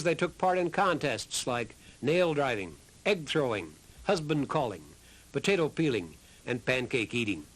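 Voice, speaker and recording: A man's voice: fairly high pitch, rough recording. An American man reading scripted documentary narration. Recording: music under the voice.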